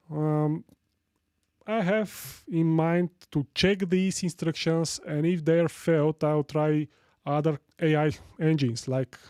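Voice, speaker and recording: A man from Sofia with a Bulgarian accent hosting a casual live tech tutorial, chatting with viewers in a halting way and repeating phrases for emphasis. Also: fairly high pitch, mellow, clear, resonant tone, no echo, studio quality. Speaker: live stream host.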